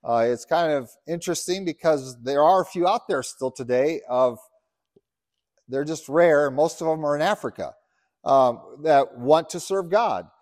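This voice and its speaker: Middle-aged pastor from Uganda, earnest preacher, slightly high voice. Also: male voice, measured pace, no echo, clean studio sound. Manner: earnest, builds to loud emphatic scripture reading. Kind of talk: sermon. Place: church.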